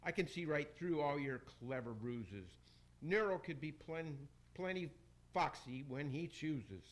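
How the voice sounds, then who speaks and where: Vain theatrical tyrant's ghost from hell, played by a man, declaiming radio-play rhymes with big pitch swings. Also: lively intonation, unhurried. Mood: vain, excited. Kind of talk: amateur radio play acting.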